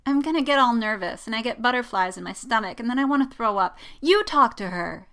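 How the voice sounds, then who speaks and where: A woman's voice: medium pitch, expressive, measured pace, bright, forceful tone. A woman voicing an anxious, lovestruck young female pilot in a story, sighing and whining in exasperation. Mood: whiny, nervous. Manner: sighing, lamenting, whining, easily flustered. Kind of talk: dramatic fan fiction reading.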